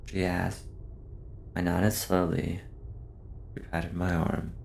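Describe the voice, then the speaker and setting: A man narrates a horror story in a very low voice thick with vocal fry. He takes his time, keeping the delivery subdued and measured, with little melodic movement. Faint noise sits behind the voice. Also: level tone, darkish tone. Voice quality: deep, gravelly. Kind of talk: scripted first-person horror narration.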